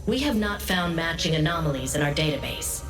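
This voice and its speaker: Low, echoey female intelligence analyst. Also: animated. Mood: serious, measured. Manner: measured, serious, informative. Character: composed female intelligence analyst briefing agents remotely. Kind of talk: scripted game dialogue. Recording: echoey hall, distant, faint music behind.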